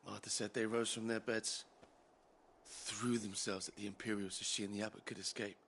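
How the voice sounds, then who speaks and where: A man's voice: on the low side, flat delivery, moderate pace, fairly bright tone, slight background noise. An actor playing a sombre, earnest man in a fantasy video game cutscene. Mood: grieving, grave.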